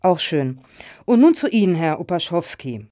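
Woman acting soothing polite panel chair lady, soft dark tone, super dry sound, radio satire. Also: lowish voice, big pitch swings, normal speed, no room sound. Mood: soothing, flattering. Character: conciliatory, flattering female foundation panel member. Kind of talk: satirical radio play dialogue.

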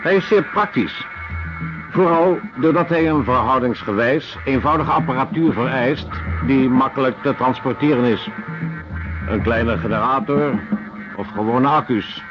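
A man's voice: medium pitch, measured pace, close to an everyday voice. A man playing a detached lecturer in a radio drama, reading in a precise, impersonal, matter-of-fact way. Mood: cold, clinical. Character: detached clinical lecturer on weapons and torture methods. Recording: dull radio recording with music behind.

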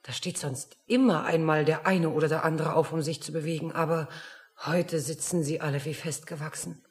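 Brusque waitress played by a woman, lowish voice. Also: fairly even intonation, normal speed, natural voice. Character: brusque, busy waitress carrying coffee and orders. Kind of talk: radio play acting.

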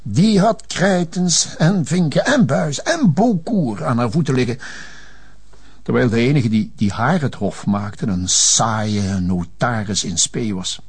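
An elderly Flemish notary in a television crime drama. He sounds husky and speaks in a halting, genteel way. He is resigned and lovelorn, given to French turns of phrase. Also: mid-range voice, expressive, heavily acted. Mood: bitter, sorrowful. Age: older. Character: resigned, lovelorn elderly male notary confessing old secrets.

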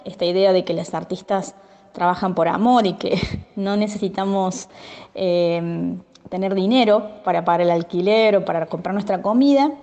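A woman's voice: fairly low pitch, moderate pace, mellow, muffled recording. A woman advocating for visual artists, reading a statement and then speaking firmly.

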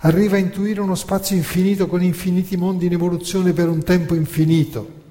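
A man narrating history as scripted dramatic storytelling, in a theatrical, measured way with rhetorical pauses and emphasis. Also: sits high, little pitch movement, dark tone. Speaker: narrator and author of a radio theatre monologue. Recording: long reverberant hall sound.

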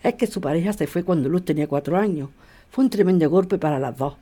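A woman playing a worried, worn-down working grandmother in radio drama dialogue, with a husky, dark voice. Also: fairly low pitch, fast, natural voice. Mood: weary, worried. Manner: weary, anxious.

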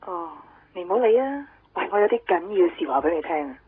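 Husky Hong Kong gal pal, urgent and secretive, thin phone-like radio drama audio. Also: female voice, on the low side, big pitch swings, a little fast, no room sound, full character voice. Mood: urgent, confiding. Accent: Hong Kong Cantonese. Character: urgent, secretive young female friend passing on gossip. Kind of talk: 1990s Cantonese radio drama acting. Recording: tinny phone-like radio recording.

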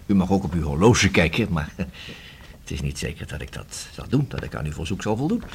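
An actor playing a persistent, ironic police detective in a radio play, speaking formally and unflappably. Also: male voice, sits low, sing-song, brisk, vocal fry, slight background noise, exaggerated voice. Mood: needling.

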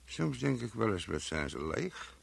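An actor playing an earthy gravedigger in a radio play, muttering and musing to himself about the dead. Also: male voice, fairly low pitch, very animated, measured pace, creaky, slightly rough, heavily acted. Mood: wondering, brooding.

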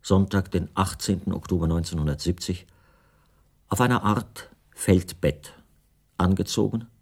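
A middle-aged writer telling his own story in a radio play. The voice is low, and the delivery is measured and formal. Each detail is set out precisely, as in literary prose. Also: vocal fry, breathy edge, heavily acted. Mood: measured, recollecting. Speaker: first-person narrator of a radio play.

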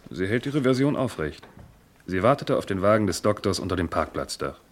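Low-voiced police assistant. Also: male voice, sing-song, brisk. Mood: businesslike. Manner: matter-of-fact reporting. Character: matter-of-fact male police assistant reporting to his chief. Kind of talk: German crime radio play acting.

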